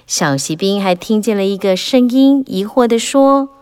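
A woman narrating a children's fairy tale in an animated, expressive way, rising and falling with the drama. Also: medium pitch, moderate pace, clear, resonant tone. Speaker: storyteller.

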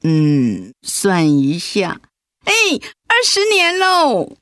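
A woman's voice: lowish voice, wildly swooping pitch, very slow, a bit bright, dry recording, clean studio sound, heavily acted. A woman playing an affectionate, emotional wife and grandmother with a Taiwanese Mandarin accent in a radio drama. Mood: surprised, reflective. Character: retired grandmother, affectionate, emotional breast cancer survivor.

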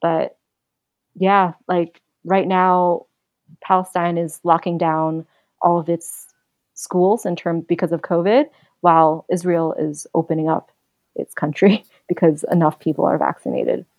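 Thoughtful young woman, low-pitched and dry. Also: fairly even intonation, darkish tone, no room sound. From United States. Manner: thoughtful, earnest, measured. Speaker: podcast guest. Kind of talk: casual interview.

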